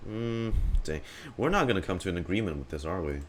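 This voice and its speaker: A man's voice: sits low, lively intonation, fairly quick, heavy background noise. A man casually reacting to a video game as a streamer, playfully talking back to the characters.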